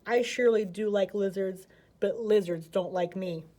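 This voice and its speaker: A woman's voice: mid-range voice, moderate pace, warm tone, lightly acted. Woman as a grumbling, lazy old python. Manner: grumbling, moaning. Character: grumpy, lazy older python with indigestion.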